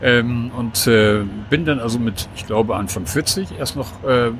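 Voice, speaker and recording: A man's voice: medium pitch, a little slow, slight background noise. A man in his sixties, a guest, talking candidly and thoughtfully about late fatherhood in casual conversation on a train. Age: 60s. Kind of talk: casual chat.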